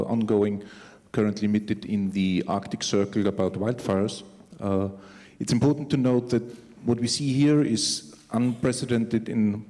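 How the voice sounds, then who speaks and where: A man from Austria presenting at a press conference as a climate initiative spokesman, explaining in a halting way. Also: on the low side, warm tone. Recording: echoey hall with long reverb.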